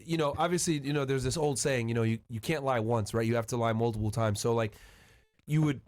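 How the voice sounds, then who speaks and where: Fast-talking man hosting a casual podcast. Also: medium pitch, darkish tone. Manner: reactive, checks and recaps the story. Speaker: podcast host interviewing a guest. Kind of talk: casual interview chat.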